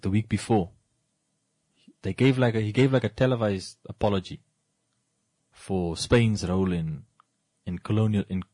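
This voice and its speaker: A man hosting a relaxed studio talk show, his voice sitting in a deep bass register. He explains at length and climbs higher when he presses a point. The sound is dry and close, with almost no room in it. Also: dry recording, rough recording. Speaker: radio show host. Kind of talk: casual studio discussion. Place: broadcast studio.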